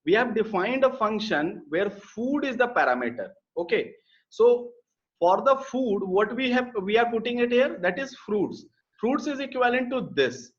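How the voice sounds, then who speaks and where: A man teaching a live programming class, explaining step by step with frequent fillers and repeated words. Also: sits high. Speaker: programming instructor. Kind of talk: live online coding lesson. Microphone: computer mic in a live class. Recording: dull lo-fi live class audio.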